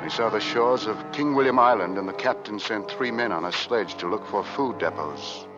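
An actor playing a weary 1850s ship's officer, narrating a doomed Arctic expedition with grave drama in an old-time radio play. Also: male voice, on the low side, normal speed, close to an everyday voice. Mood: somber, weary. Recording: muffled vintage radio recording, loud music.

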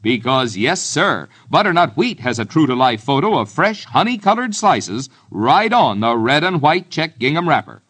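Lively swooping radio ad man. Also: a little high, normal speed, few pauses. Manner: emphatic sales patter. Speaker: commercial announcer. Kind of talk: 1950s radio advertisement, scripted.

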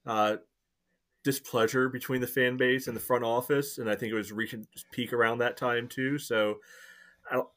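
A man's voice: mid-range voice, fairly even intonation, moderate pace, a bit bright. A man on a podcast speaking hesitantly, filling his talk with "you know" and "uh". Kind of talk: casual podcast chat.

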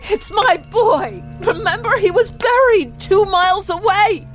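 A woman's voice: very high voice, a little slow, soft background music. A woman playing a desperate, grieving mother in a horror audio drama, wailing and pleading frantically. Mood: frantic, desperate. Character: desperate grieving elderly mother, frantic for her dead son. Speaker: actor.

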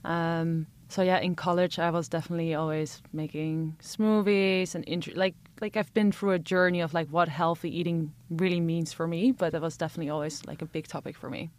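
European woman in her thirties, lower voice, chatty with lots of "like". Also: fairly even intonation, a little fast, hardly any pauses. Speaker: guest, company co-founder. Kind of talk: casual interview talk.

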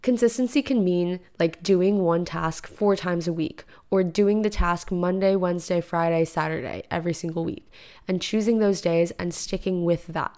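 Chatty young woman, raspy just-woken voice. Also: fairly low pitch, normal speed, dark tone. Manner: chatty, rambling, filler-heavy. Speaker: podcast host. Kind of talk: casual solo podcast monologue. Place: living room.

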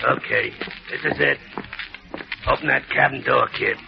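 An actor playing a gruff gangster thug who bosses captives around at gunpoint, in a 1940s radio drama. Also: male voice, medium pitch, normal speed, background noise. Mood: menacing. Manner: gruff, sneering, tough-guy slang. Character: menacing male gangster thug with a gun. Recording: dull old radio recording with music.

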